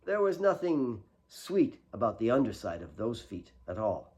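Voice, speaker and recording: Animated man reading fiction. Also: medium pitch, moderate pace, slightly rough. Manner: expressive, storytelling. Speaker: author reading own fiction. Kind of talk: dramatic fiction narration.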